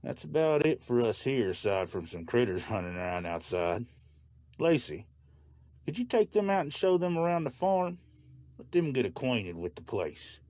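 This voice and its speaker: A man's voice: mid-range voice, very animated, normal speed, darkish tone, no echo, lightly acted. A man voicing a hospitable, authoritative older farm owner in a motion comic, folksy and in charge. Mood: directing, concerned. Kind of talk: comic book voice acting.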